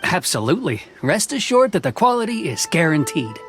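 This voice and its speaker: A man's voice: fairly high pitch, very animated, fairly quick, over music. A man playing a humble, dutiful young boatwright in an anime game, polite and a little hesitant. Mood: earnest.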